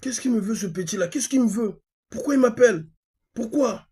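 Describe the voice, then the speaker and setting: A man from Congo with a Congolese accent and a husky voice, speaking insistently as a live-stream host, repeating phrases and asking rhetorical questions. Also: extremely high pitch, a little fast, darkish tone. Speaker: online activist host. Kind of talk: live-stream monologue in colloquial speech, with a phone call.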